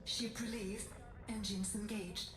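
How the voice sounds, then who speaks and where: A woman voicing a calm spaceship computer in a video game, giving measured, formal status announcements. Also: medium pitch, lightly acted. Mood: calm, procedural. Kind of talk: clipped scripted system announcements. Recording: distant, echoey, buried in loud game noise.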